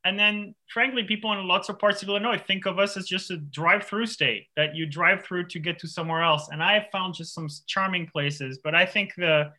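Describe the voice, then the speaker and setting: A man's voice: high-pitched, steady tone, fairly quick, few pauses, bright, forceful tone. A man from France, an author, talking in a radio interview in a thoughtful way, with many restarts and fillers. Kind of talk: radio interview, reflective conversation.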